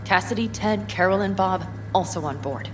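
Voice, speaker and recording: A woman playing a tough, determined young nomad leader in a video game, speaking in a brisk, blunt way. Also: mid-range voice, noisy background, lightly acted.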